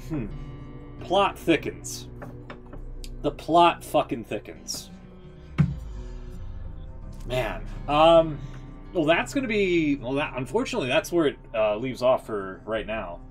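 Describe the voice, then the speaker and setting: A middle-aged man giving casual game livestream commentary, musing and halting, with some swearing. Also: medium pitch, big pitch swings. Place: indoor streaming setup. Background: music.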